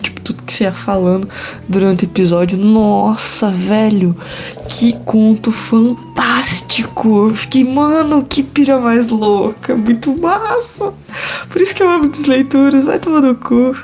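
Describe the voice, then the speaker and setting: Mellow, slangy Brazilian woman in a voice message. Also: a little high, unhurried, faint music behind. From Paraná, Brazil. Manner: slangy, enthusiastic, loose. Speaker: podcast listener sending audio feedback. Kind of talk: casual voice message.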